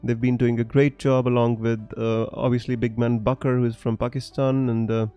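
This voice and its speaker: A young man from Punjab with a blended accent that is hard to place talks loosely through a live stream, reading out comments and restarting his sentences. His voice is warm and mellow, sitting in the middle of the male range. Faint music plays underneath. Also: medium pitch, little pitch movement, fairly quick, smooth. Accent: mixed, hard to place. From Punjab, India. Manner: chatty, frequent fillers and restarts, laughs often. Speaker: fantasy football streamer.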